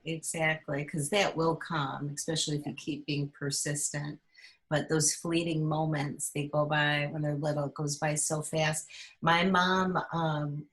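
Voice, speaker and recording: A woman's voice: low voice, a bit bright, poor audio. A woman hosting a casual interview over a video call. She sounds encouraging and laughs readily. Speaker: host.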